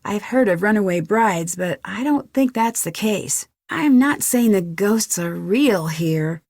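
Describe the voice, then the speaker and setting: A woman's voice: mid-range voice, expressive, measured pace, a little mellow, no room sound. Young woman sleuth for a mystery game: mid-range, friendly, earnest, lightly wry, close dry studio sound. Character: young female detective, curious, friendly, level-headed. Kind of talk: conversational game dialogue, one side of phone calls.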